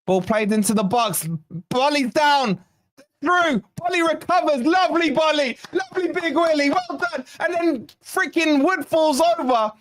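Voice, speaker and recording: Hoarse male fan host, high-pitched, excitable partisan live commentary, dry close mic. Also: dry recording. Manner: excitable, swearing, partisan play-by-play. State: hoarse, losing his voice. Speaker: fan channel watch-along host.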